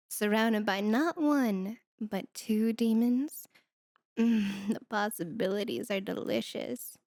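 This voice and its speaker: A woman's voice: fairly high pitch, darkish tone, no echo, studio quality. A woman voicing a sardonic, teasing female demon with a condescending, flirty manner in an ASMR roleplay. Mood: seductive, amused.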